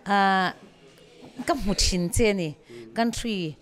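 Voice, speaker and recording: A woman's voice: medium pitch, takes it slowly. A middle-aged woman, hosting a talent show and interviewing a contestant on a handheld microphone. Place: indoor backstage interview area. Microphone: shared handheld microphone.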